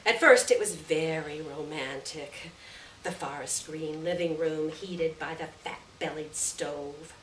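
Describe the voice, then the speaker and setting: A woman reading a literary short story aloud at a live event, with measured, deliberate phrasing and stressed words. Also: deep voice. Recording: distant roomy live recording, faint noise.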